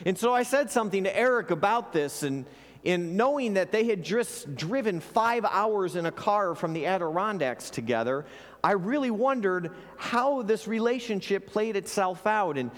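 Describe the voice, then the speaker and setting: A man's voice: sits high, lively intonation, normal speed. A man pastor preaching an earnest sermon to his congregation, sometimes repeating words as he restarts a phrase. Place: church sanctuary. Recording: long hall reverb.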